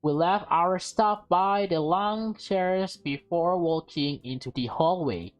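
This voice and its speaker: A woman with a slightly low voice reads a story game aloud at a slow pace. Her delivery is casual and halting, with stumbles and self-corrections. Faint music plays underneath. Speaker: gameplay streamer reading a visual novel aloud. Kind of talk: casual let's-play read-aloud with commentary.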